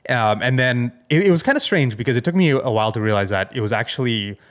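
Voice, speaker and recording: A man with a mid-pitched voice co-hosts a casual podcast. He talks informally and wanders into loose asides as he explains things. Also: animated, fast talker. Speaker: podcast co-host. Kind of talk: casual tech chat.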